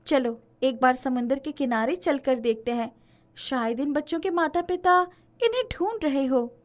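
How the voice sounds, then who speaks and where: A woman's voice: sits high, normal speed, natural voice. A woman voicing a resolute, righteous fairy in a children's storybook tale, firm and scolding in manner. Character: bossy, righteous female fairy, concerned and resolute. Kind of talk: animated storybook character acting.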